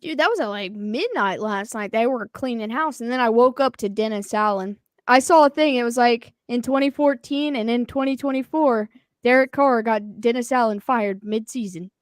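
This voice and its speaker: Woman, higher voice, dry close sound, casual fan chat, excitable reactions. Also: normal speed, no echo. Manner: chatty, excitable, reacts loudly to big plays. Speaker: live stream co-host.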